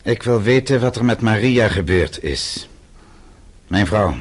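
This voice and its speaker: An actor playing a bitter, defiant middle-aged exile in a radio play, sardonic and accusing. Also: male voice, on the low side, animated, unhurried, husky. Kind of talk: Dutch radio play acting.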